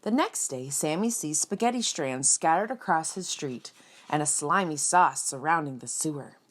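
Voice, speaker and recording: A woman reading a picture book aloud for children in an expressive storytelling style, speaking directly and simply to young listeners with dramatic emphasis. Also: sits low, moderate pace. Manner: dramatic emphasis, acts out sound words. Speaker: children's read-aloud reader.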